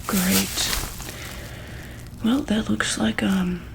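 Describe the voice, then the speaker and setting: Woman acting as caring female monster doctor, ASMR roleplay, breathy whisper, unhurried, hesitant, noisy background. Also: mid-range voice, steady tone, full character voice. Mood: gentle, reassuring. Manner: hesitant, gentle, soft-spoken.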